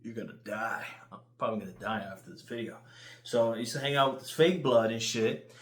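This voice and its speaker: A man with a New York accent telling casual, rambling stories in an animated, crude way, swearing freely. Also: mid-range voice, a little fast, roomy sound. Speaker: YouTuber.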